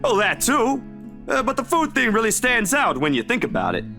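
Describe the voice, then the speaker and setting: A man's voice: extremely high pitch, huge pitch swings, moderate pace, creaky, sharp tone, full character voice. A male actor playing an easygoing, protective young best friend in a visual novel, with a casual, caring manner. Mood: teasing. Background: guitar music.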